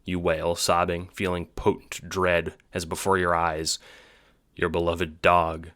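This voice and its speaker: A man's voice: deep voice, completely flat delivery, unhurried, pushed and bright. A man narrating an audio drama in a dramatic, scripted storytelling style, with music behind him.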